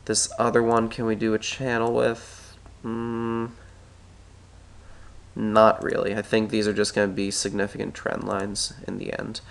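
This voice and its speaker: A man's voice: fairly low pitch, completely flat delivery, measured pace, vocal fry, slight background noise. A man with a stuffy head cold walks through a stock chart tutorial, thinking aloud and often correcting himself as he draws. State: stuffy with a cold, clearing his throat. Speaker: stock market analyst. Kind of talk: screen-recorded chart tutorial.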